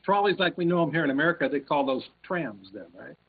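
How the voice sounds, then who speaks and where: A man chatting on a video call, talkative and quick to laugh. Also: a little high, sing-song, husky. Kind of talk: casual video call chat. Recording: tinny call audio.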